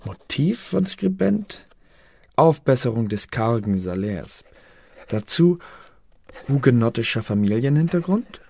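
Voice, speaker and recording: An actor playing an intelligence officer who presents secret files in a radio play, clipped and questioning. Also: male voice, medium pitch, sing-song, a little slow, a little mellow, no room sound, exaggerated voice. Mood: pointed, official. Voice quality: gravelly, husky. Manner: clipped, dry, poses questions and answers them. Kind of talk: German radio play, formal document reading.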